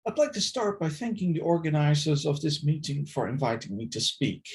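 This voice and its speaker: Academic man, middle-aged, formal and deliberate, slightly higher voice with some gravel. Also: very animated, darkish tone. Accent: non-Filipino, foreign-accented English. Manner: measured, formal, deliberate delivery. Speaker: invited speaker, plant systematist. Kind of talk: prepared academic presentation.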